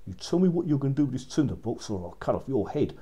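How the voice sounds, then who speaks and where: Guy doing a cocky soldier from a kids story, blunt n familiar, mellow but lively. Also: mid-range voice, fast talker, husky. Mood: threatening. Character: cocky male soldier, blunt and bold fairy-tale adventurer.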